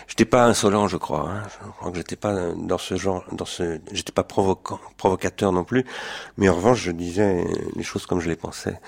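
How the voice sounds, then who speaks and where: Older man, low gravelly voice. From France. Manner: hesitant, searching for words, self-correcting. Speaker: interviewee. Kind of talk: autobiographical recollection in an interview.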